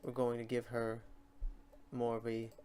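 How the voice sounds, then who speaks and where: Male tutorial host, medium pitch, informal and off the cuff, muttering his way through on-screen steps. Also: monotone, unhurried, a little mellow, a little hiss or hum. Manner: informal, talks to himself while clicking through steps. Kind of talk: casual unscripted screen-recorded tutorial narration.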